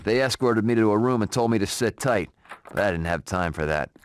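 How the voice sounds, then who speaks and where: A man playing a tough, wry hard-boiled ex-cop hero in a noir video game, terse and deadpan. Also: on the low side, brisk, clean tone, close to an everyday voice. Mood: dry brooding. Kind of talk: noir game narration and cutscene dialogue. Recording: music behind the voice.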